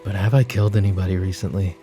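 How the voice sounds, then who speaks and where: Man acting a low, exasperated mafia boss over music. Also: steady tone, quick pace, mellow, natural voice. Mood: weary, troubled. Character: exasperated, weary male mafia boss, secretly principled. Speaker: voice actor in audio roleplay. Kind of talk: ASMR audio drama roleplay.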